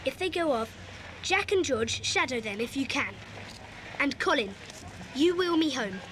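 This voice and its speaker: Bossy eager schoolboy, high and lively, kids audio drama, bit of tape hiss. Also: female voice, a little slow. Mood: urgent, commanding. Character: Peter, bossy eager schoolboy leader of child sleuths. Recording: hissy old cassette recording.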